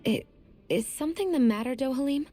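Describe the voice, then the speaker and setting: A woman's voice: high-pitched, animated, brisk, warm tone, soft background music. An actress playing a thoughtful, dignified young woman in a video game, earnest and composed. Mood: concerned. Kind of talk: dramatic video game voice acting.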